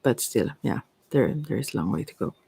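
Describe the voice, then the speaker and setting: Creaky asmr host, androgynous, close dry sound, kinda rambling and thoughtful. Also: medium pitch, expressive, brisk, warm tone, dry recording. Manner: hesitant, meandering, reflective. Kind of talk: ASMR, rambling personal talk.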